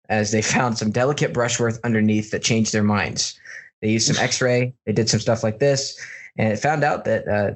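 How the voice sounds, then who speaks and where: Man, American accent, mid-range voice, podcast host, casual news banter, informal animated storytelling. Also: mid-range voice.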